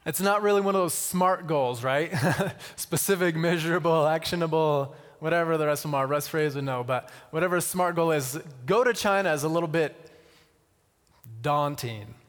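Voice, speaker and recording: A man giving a conversational sermon with personal stories, using deliberate pauses and repetition and touches of wry humour. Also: on the high side. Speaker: missionary speaker. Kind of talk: sermon with personal storytelling to an audience. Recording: reverberant hall.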